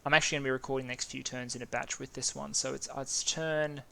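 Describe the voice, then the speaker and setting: A man's voice: medium pitch, little pitch movement, fast, creaky, pushed and bright. A man with an Australian accent casually talking through a strategy game, thinking aloud and stumbling over names. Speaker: gaming video host.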